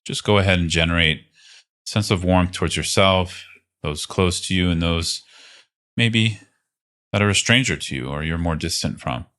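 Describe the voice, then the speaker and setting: Very deep-voiced man guiding meditation. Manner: gentle, unhurried guiding with long pauses. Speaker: meditation teacher. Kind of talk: guided meditation.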